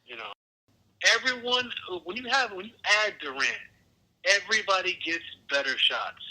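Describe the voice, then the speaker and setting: A man's voice: sits high, sing-song, normal speed, sharp tone, poor audio. A young man on a casual podcast, talking sports in a rambling, emphatic way with casual swearing. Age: young adult. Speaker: podcast host.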